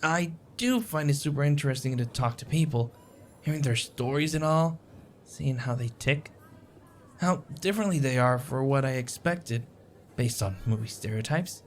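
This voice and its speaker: A man's voice: medium pitch, expressive, moderate pace, a little hiss or hum, natural voice. A man playing a shy, adorkable, stammering stranger. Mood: shy, chatty. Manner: hesitant, stammering, self-deprecating, apologetic. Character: shy, anxious, adorkable young man, sweet and rambling. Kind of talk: audio roleplay, intimate one-sided conversation. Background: coffee shop ambience.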